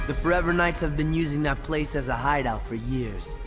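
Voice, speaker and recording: A man's voice: fairly high pitch, fairly quick, natural voice. An actor playing a streetwise young tough guy in a video game cutscene, blunt and slangy with a wry edge. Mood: wry, knowing. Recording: music under the voice.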